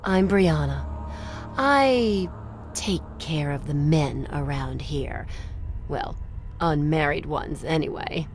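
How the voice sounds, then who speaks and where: A woman's voice: sits low, takes it slowly, a little hiss or hum. A woman playing a flirtatious, sultry female clan member in a video game, speaking coyly. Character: flirtatious, sultry woman who tends a clan's men. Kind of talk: video game dialogue acting.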